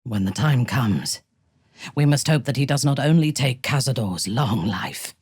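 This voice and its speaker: A woman playing a proud, ruthless dark elf commander in a video game, speaking formally and haughtily in a breathy, husky voice. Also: rumbling low, lively intonation, no echo, studio quality, heavily acted. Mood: grave. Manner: measured, haughty, formal diction. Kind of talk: video game character dialogue.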